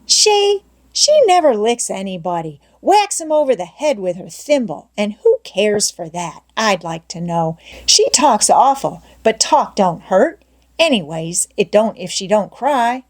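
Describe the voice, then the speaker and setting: A woman's voice: medium pitch, sing-song, measured pace. A woman voicing an eager, scheming young boy from a storybook, with a coaxing, breezy, folksy way of talking. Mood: breezy, persuading.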